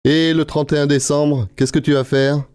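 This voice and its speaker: A man acting out scripted dialogues for language learners, explaining things in a clear, steady way. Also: on the high side, normal speed, dry recording.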